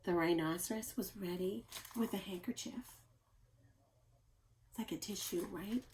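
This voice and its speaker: A woman reading a picture book aloud to young children as a teacher, with expressive, deliberate emphasis and questioning asides. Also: on the low side, heavy background noise.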